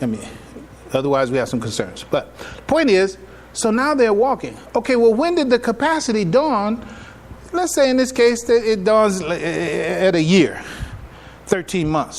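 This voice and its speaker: A man giving a religious public address as an imam, teaching with emphatic rhetorical questions, repetitions and deliberate, halting phrasing. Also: high-pitched. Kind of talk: religious lecture, teaching to an audience.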